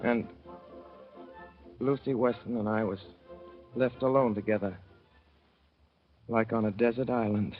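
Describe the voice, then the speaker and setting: A man's voice: fairly low pitch, steady tone, unhurried, pauses often, lightly acted. An actor playing a naive young man from the Midwest who rambles through his story in a folksy, boyish way, on an old radio play. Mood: earnest. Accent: Midwestern American. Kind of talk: 1930s radio drama narration. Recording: dull 1930s radio broadcast with music.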